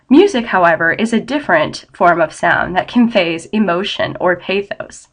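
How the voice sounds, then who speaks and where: A woman's voice: medium pitch, a bit bright. Stuffy-nosed woman lecturing with a cold. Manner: explanatory, with frequent ums. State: head cold, nasal and scratchy. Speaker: college English instructor. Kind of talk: online lecture.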